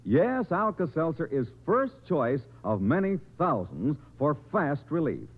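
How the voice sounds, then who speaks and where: Man, vintage radio announcer, hugely swooping intonation, emphatic sales pitch, fairly high voice, narrow tinny sound. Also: wildly swooping pitch, unhurried, breathy edge. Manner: emphatic, polished sales delivery. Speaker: commercial announcer. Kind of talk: 1950s radio advertising announcer.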